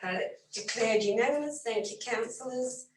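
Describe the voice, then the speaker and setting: A woman chairing a council meeting as mayor, running through agenda items in a brisk, businesslike way, her voice a little croaky. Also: a little high. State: slightly croaky voice. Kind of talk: formal meeting procedure. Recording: echoey room, far from mic, faint noise.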